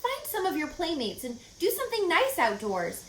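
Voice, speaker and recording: A woman's voice: very high-pitched, wildly swooping pitch, normal speed, fairly bright tone, voice pushed up, exaggerated voice. A woman voicing an anxious, stern and proper mother in a storybook reading, with a fretful, scolding manner. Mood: gently worried. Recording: echoey room, faint background noise.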